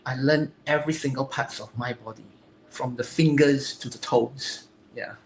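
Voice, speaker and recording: A woman from Singapore with a Singaporean English accent, answering interview questions earnestly and haltingly, searching for her words. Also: extremely low pitch, steady tone. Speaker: interview guest, physique competitor. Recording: echoey room, faint ambient noise.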